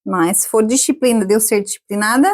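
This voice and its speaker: A woman's voice: medium pitch, fairly quick. A woman in her forties, a podcast guest, chatting casually, laughing often and tagging questions onto her sentences. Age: 40s. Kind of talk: casual interview chat.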